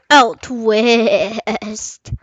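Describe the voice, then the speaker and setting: A woman's voice: a little high, no echo, dull audio. A young girl reading a children's history book aloud, stumbling over words and sometimes slipping into a playful sing-song. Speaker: young reader practising aloud.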